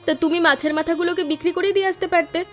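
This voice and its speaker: A woman's voice: very high-pitched, fairly even intonation, racing, soft background music. A woman playing a fretful, scolding village wife and mother in a cartoon, emphatic and dramatic. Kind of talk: Bengali cartoon voice acting.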